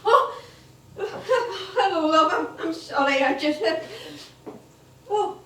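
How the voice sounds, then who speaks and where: Woman acting an old comedienne, high, slow-paced. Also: animated, a bit bright, a little hiss or hum, full character voice. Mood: delighted, tearful. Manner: effusive, wry, given to endearments. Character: chatty, warm-hearted old music hall comedienne. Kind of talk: theatrical stage acting. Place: theatre stage. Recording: echoey theatre stage from far off.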